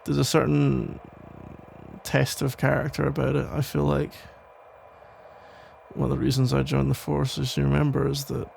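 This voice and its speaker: A man playing a protective, tender police officer still in love, speaking haltingly in an intimate ASMR roleplay. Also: mid-range voice, monotone, creaky, a little hiss or hum. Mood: admiring, gentle. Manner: hesitant, halting, rambling with sighs and soft chuckles. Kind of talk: ASMR roleplay, intimate one-sided conversation.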